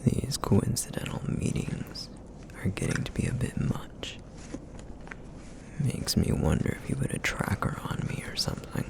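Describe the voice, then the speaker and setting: Guy doing a feral broody vampire-like creature, asmr rp, super gravelly half whisper, breathy and terse, grudging. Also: expressive, a little slow. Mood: wry, suspicious. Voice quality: raspy, rumbling, breathy low murmur. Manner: terse, brooding, clipped phrases. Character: broody, feral male essence eater, guarded and grudging. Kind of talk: ASMR roleplay audio acting.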